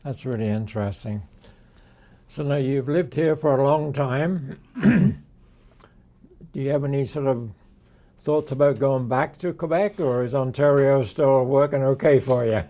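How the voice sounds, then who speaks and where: A male interviewer who asks questions gently and backs up the guest with short affirmations. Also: mid-range voice, a little slow. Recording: dull lo-fi sound from off-mic.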